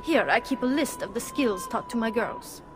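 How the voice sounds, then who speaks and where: An actress playing a proud, brisk Italian brothel madam in a dramatic video game scene. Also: female voice, a little high, lively intonation, over music, lightly acted.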